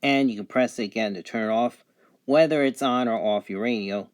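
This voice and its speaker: A man giving an unscripted product review, going item by item in a matter-of-fact, halting way. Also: mid-range voice.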